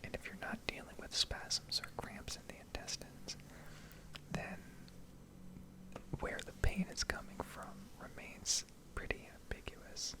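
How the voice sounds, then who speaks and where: A woman whispering an unscripted ASMR ramble in a reflective, hesitant way with trailing pauses. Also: low-quality recording. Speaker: ASMR video creator rambling over gameplay.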